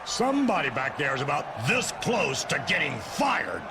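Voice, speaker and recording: A man's voice: on the high side, sing-song, normal speed, gravelly, crowd noise, background noise. A man playing a pompous, self-admiring wrestling company boss in a video game, speaking theatrically. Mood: smug self-praise. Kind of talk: video game cutscene speech.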